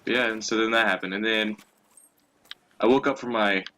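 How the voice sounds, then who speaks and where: A man's voice: lowish voice, fairly even intonation, normal speed, pushed and bright, a little hiss or hum. A young man chatting casually and telling stories as a co-host, in a crude, profanity-laden way. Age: young adult. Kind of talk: casual chat, storytelling.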